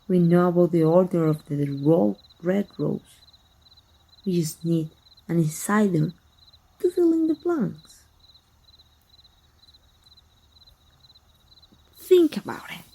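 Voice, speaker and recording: Slow, husky woman acting a sly vampire hunter. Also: on the low side, dark tone, exaggerated voice. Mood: smug persuasion. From Mexico. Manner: slow, deliberate, mock-sweet and menacing. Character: sly, taunting, sadistic female vampire hunter, manipulative estranged sister. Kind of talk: ASMR roleplay acting.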